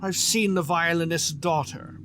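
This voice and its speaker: A man acting an aloof, commanding pony who rules a theater in an audio drama, speaking in a dry, imperious, measured way. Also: on the high side, noisy background, natural voice. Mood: admiring. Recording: music bed behind the voice.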